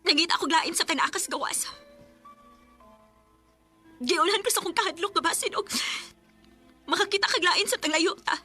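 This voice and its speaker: A woman's voice: extremely high pitch, moderate pace, long pauses, pushed and bright, soft background music. A woman acting a guilt-ridden young girlfriend in an emotional radio drama, pleading and anguished. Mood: defensive, anguished.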